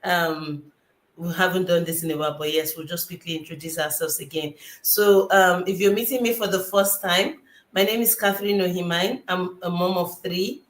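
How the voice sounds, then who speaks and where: A woman's voice: lowish voice, moderate pace. A woman from Nigeria hosts a live online chat over a video call. She sounds upbeat and welcoming. Her voice carries a roomy echo. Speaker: host.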